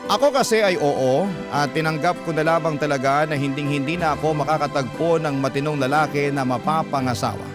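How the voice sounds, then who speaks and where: A man's voice: fairly high pitch, a little fast, music in the background. A man narrating as a radio host, reading scripted letters in a dramatic classic-radio storytelling style.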